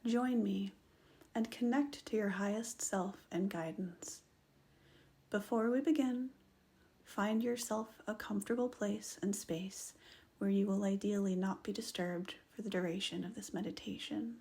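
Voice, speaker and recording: A woman guiding a meditation in a soft voice that often slips into a whisper. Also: mid-range voice, steady tone, unhurried, creaky. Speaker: meditation guide. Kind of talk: guided meditation narration.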